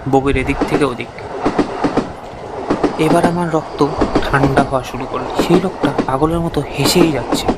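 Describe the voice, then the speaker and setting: A man narrating a scripted horror story in the first person, with a suspenseful, expressive, dramatic delivery. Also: medium pitch, moderate pace, long pauses, heavy background noise.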